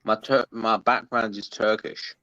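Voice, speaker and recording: A man's voice: fairly low pitch, level tone, unhurried, bright, forceful tone, dry recording, lo-fi sound. A man from London with a London accent, hosting a casual livestream chat, talking in a chatty, hesitant way with many ums. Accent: London English. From London, England. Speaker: livestream host reading chat.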